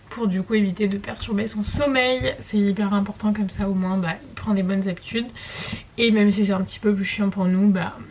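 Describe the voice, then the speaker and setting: A woman's voice: medium pitch, a little hiss or hum. A young woman and mother vlogging casually at home, chatting in a rambling way full of fillers and restarts. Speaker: family vlogger, mother of a newborn. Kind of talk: casual chat to camera. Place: at home, bedroom and kitchen.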